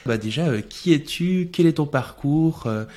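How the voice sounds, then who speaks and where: A man's voice: fairly high pitch, lively intonation, unhurried, warm tone. A man hosting a podcast, reading a punchy opening and then asking loose questions with fillers. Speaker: podcast host. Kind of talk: podcast intro and interview questions.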